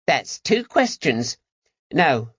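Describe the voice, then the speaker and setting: A man voicing a cheeky, eager young street urchin with a high-pitched voice and slangy talk, in an audiobook. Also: very animated, very slow, fairly bright tone, slightly rough, no echo, lo-fi sound, full character voice. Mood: wary, cheeky. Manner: colloquial street slang, bargaining.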